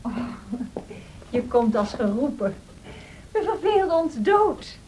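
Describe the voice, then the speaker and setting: A woman's voice: sits high, big pitch swings, takes it slowly, darkish tone, heavily acted. An actress playing a spirited, imperious young married woman in a radio drama, theatrical and haughty. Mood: gleeful, taunting. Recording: noisy old radio drama recording.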